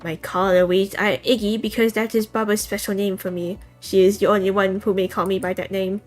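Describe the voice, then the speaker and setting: Woman doing a proud formal cat, slightly lower voice, measured and haughty, soft music behind. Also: flat delivery, lightly acted.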